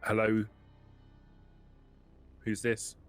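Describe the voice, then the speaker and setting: A man voicing a businesslike trader in a tabletop game, dry, knowing and unhurried. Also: fairly low pitch, completely flat delivery, no room sound, soft background music. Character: businesslike male trader on a radio call.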